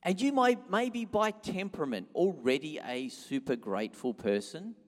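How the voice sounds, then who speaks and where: A man's voice: on the high side, animated, moderate pace. Aussie guy pastor, big strong voice, chatty sermon, lots of hall reverb. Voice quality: loud, strong voice. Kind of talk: sermon, informal and conversational. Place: church hall, amplified by sound system. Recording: echoey hall with long reverb.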